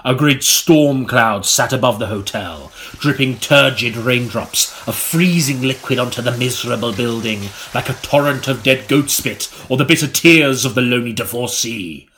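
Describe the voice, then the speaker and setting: A man reading a mock-serious gothic story as an audiobook narrator, with a melodramatic, deliberate delivery. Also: mid-range voice, fairly bright tone, a little hiss or hum. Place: recording studio.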